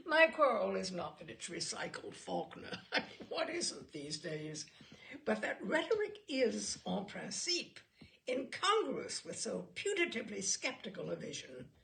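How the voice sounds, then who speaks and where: A woman's voice: mid-range voice, sing-song, measured pace, gravelly, fairly bright tone, exaggerated voice. A woman voicing a pompous, self-satisfied man who lectures in ornate critical jargon in a humorous short story. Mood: pontificating, self-satisfied.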